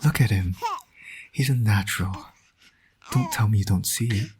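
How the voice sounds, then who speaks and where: A man playing a flirtatious, teasing supervillain and doting father in an intimate audio roleplay, with a husky, breathy voice. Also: fairly low pitch, moderate pace, background noise, natural voice. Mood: playful doting.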